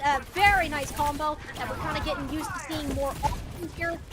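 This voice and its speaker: A woman's voice: sits high, a little fast. A woman giving live esports commentary in a playful, slangy way. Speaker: esports caster.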